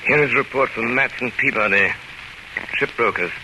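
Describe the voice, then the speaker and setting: An actor playing an earnest gentleman who reads out reports and journal entries in a vintage radio drama. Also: mid-range voice, moderate pace, close to an everyday voice. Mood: grave, measured. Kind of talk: 1930s radio drama narration. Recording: noisy old radio broadcast.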